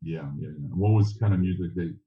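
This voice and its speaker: A man with a low voice conducts a casual, conversational interview. He feels his way into questions, often restarting them. The recording is dull and echoes with the room. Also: brisk. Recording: dull roomy echoey sound.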